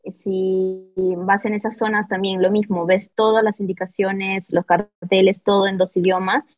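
A woman's voice: mid-range voice, level tone, unhurried, darkish tone, no room sound. A woman whose first language is Italian, talking as a panel guest and explaining things conversationally. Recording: slightly dull, dry audio.

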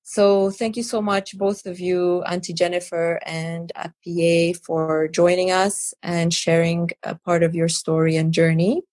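A woman hosting a podcast interview, speaking in a conversational way with gentle prompts. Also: fairly low pitch, little pitch movement, unhurried, hardly any pauses, no room sound. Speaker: podcast host.

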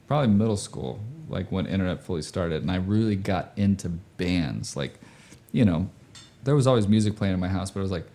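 Man, forties, deep pitch, mellow tone, slangy, anecdotal, casual conversation. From grew up overseas in Indonesia. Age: 40s. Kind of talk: casual chat about music.

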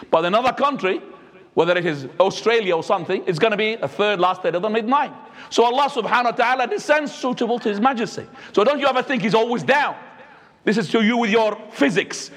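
A man, preaching a sermon as an imam, swinging between high and low. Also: a bit bright. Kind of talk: Friday sermon. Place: mosque. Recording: echoey hall.

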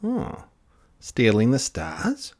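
A man's voice: medium pitch, huge pitch swings, extremely slow, voice pushed up, heavily acted. A man voicing an eager, curious young child who exclaims breathlessly. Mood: doubtful.

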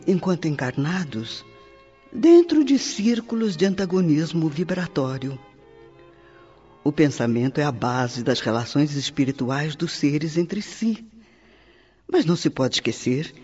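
Animated, earnest Brazilian spirit mentor. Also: male voice, fairly high pitch, husky, heavily acted. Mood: earnest, solemn. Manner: didactic, emphatic, oratorical with rhetorical questions. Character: earnest male spirit mentor lecturing on divine laws. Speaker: radio drama actor. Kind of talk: theatrical Portuguese radio drama monologue. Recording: slightly dull radio production with music. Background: music.

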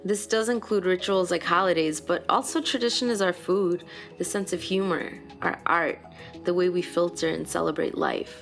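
A woman hosting a podcast, reading a measured, scripted narration. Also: lowish voice, steady tone, gravelly, soft background music. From United States. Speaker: host.

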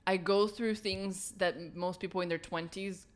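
A woman's voice: medium pitch, fairly even intonation, moderate pace. A woman in her late teens from Brazil, talking thoughtfully and earnestly in a casual chat.

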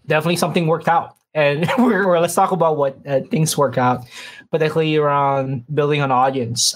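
A man hosting a podcast interview, asking questions in a friendly, casual way and murmuring along while his guest talks. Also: on the high side. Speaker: podcast host.

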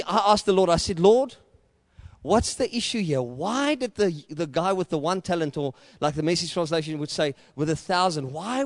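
A middle-aged man with a South African accent, an Afrikaans speaker, preaching a sermon with repetitive, building rhetoric that surges to loud emphatic peaks. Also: high voice, dry recording, clean studio sound. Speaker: pastor.

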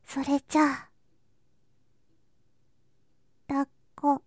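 Woman acting a high, soft, sweet younger cousin. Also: flat delivery, moderate pace, dry recording, studio quality, natural voice. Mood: coaxing, clingy. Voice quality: soft, breathy, often whispering. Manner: cutesy, affectionate, coaxing. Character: sweet, clingy younger girl cousin, affectionate and sleepy. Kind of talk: Japanese ASMR situation voice drama. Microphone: close ASMR microphone.

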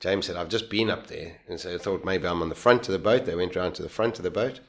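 A man being interviewed, telling a survival story in a casual, animated way, often restarting sentences and laughing at himself. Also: low-pitched, fast talker.

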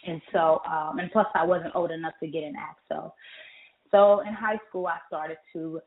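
A woman's voice: deep voice, fairly even intonation, normal speed, echoey room, narrow, tinny audio. A woman from California, an author and poet, talking thoughtfully as a guest with many fillers. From California, United States. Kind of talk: casual interview chat.

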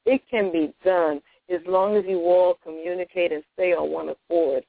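A woman's voice: lowish voice, fairly even intonation, moderate pace, creaky, no echo. A woman from Honduras, a guest speaker telling her life story on a radio talk show. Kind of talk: radio interview. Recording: tinny phone-like radio audio.

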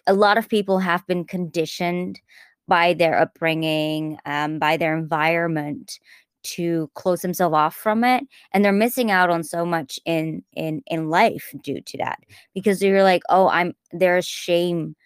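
A woman with an American-influenced English accent chatting on a podcast. Her voice sits a little low and sounds smooth and clear, recorded dry and close. She talks in loose, tangential runs, restarting sentences as she goes. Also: no echo. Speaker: podcast co-host. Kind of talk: casual podcast chat.

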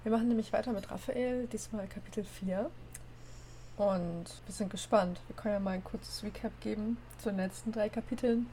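A woman with a cold hosting a podcast and reading a story aloud in a steady, plain way. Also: medium pitch, normal speed, a little hiss or hum. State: has a cold. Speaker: narrator and host.

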